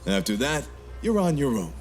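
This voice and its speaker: A man's voice: medium pitch, sing-song, moderate pace, faint music behind, natural voice. A man playing a smug, teasing gang leader in a sci-fi fan dub, speaking in a sly, mocking way. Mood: cool warning. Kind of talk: acted fan dub of a visual novel.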